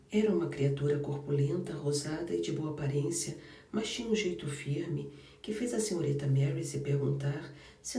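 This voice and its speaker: A man's voice: on the high side, creaky, mellow. Man narrating, in a roomy space. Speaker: audiobook reader. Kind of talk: scripted narration of a classic novel. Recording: roomy and distant from the mic.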